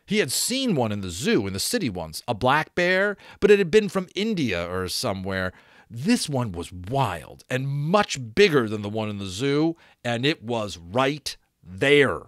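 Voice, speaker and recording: A man in his thirties narrates an audiobook with very lively, swooping intonation. He leans on key words and pauses deliberately for drama. The sound is close and dry. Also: mid-range voice, no room sound. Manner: expressive, deliberate pauses and stressed repeats. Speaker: audiobook reader. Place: small room at home. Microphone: desk microphone close in front.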